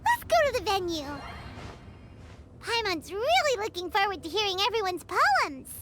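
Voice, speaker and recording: A woman voicing a small, excitable, bubbly fairy companion in a video game, squeaky and childlike, exclaiming and speaking of herself in the third person. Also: normal speed, background noise. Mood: chirpy. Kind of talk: video game cutscene acting. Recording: music behind the voice.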